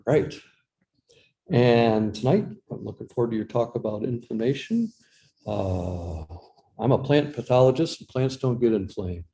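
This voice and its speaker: A man's voice: medium pitch, animated, measured pace, dark tone. A man hosting a live event, interviewing and introducing a speaker in a jokey, self-deprecating way. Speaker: event host.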